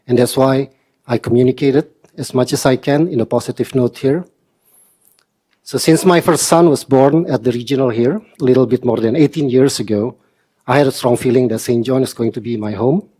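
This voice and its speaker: A man from Indonesia in his forties gives a prepared statement at a council meeting. He speaks earnestly and with measured pacing, in a mid-pitched voice with slightly formal phrasing. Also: warm tone.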